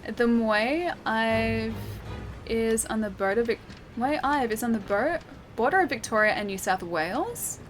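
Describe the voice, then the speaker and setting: A woman's voice: on the high side, animated, unhurried, faint music behind. A woman from Western Australia with an Australian accent, chatting casually with viewers while she plays a game, often laughing and answering chat. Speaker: streamer.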